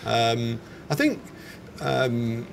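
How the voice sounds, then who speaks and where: English man, forties, hesitant conversational interviewee, mid-pitched, recorded in a bar with background noise. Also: drawn-out words. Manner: hesitant, chatty, self-correcting. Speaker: snack company founder being interviewed. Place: indoors in a bar. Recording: clear background ambience noise.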